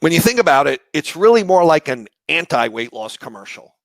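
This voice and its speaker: A man with a slightly raspy, hoarse voice presenting a live online marketing session, conversational and explanatory with rhetorical questions. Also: fairly high pitch, big pitch swings, fairly quick, dry recording, low-quality recording. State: hoarse from shouting the day before.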